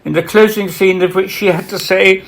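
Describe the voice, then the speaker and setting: A man reading literary biography aloud as its author, in a solemn, measured way with dramatic pauses. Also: sits high, steady tone. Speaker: author reading from own book.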